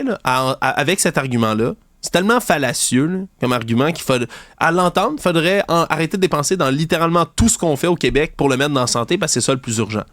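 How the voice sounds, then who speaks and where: A man's voice: fairly bright tone, dry recording, studio quality. A man with a Québécois accent hosting a commentary show, ranting with indignation and sarcasm about a news story. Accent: Québécois French. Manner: indignant, ranting, sarcastic, mocks opponents in high voices. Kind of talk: heated opinion commentary.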